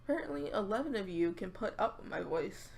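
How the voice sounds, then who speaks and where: A young woman with a raspy voice, chatting casually to her viewers and rambling with lots of fillers and restarts. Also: mid-range voice, expressive, brisk, poor audio. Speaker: YouTuber. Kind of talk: casual vlog chat.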